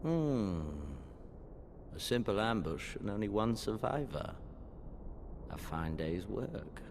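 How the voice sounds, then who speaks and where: A man's voice: fairly low pitch, vocal fry, a little hiss or hum, exaggerated voice. A man playing a cruel, haughty imperial prince in a video game, speaking with languid, theatrical menace. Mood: cold disdain. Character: cruel imperial crown prince commander, bored predatory huntsman. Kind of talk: dramatic video game cutscene acting.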